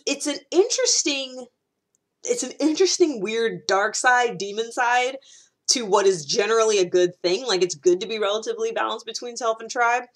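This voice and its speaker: A woman giving a casual, explanatory monologue as a video host, conversational and often rephrasing or repeating herself. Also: mid-range voice.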